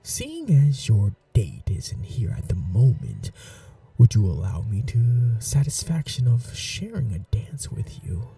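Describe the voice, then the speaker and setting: A man voicing an ancient royal vampire lord in an ASMR roleplay, suave and flirtatious, speaking slowly with low breathy whispers. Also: wildly swooping pitch, far from the mic, faint music behind, exaggerated voice. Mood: seductive. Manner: slow, seductive, softly whispering.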